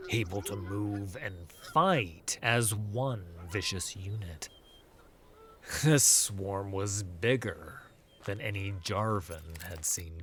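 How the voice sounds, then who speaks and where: A man narrates a scripted fantasy tale in a low voice. He reads slowly and deliberately, with faint ambience behind the words. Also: a little hiss or hum.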